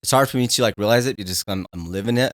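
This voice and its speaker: A man's voice: lowish voice, racing, no echo, studio quality. A man in his thirties, a podcast guest, talking in a laid-back, candid way and swearing when riled. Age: 30s. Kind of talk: casual conversation.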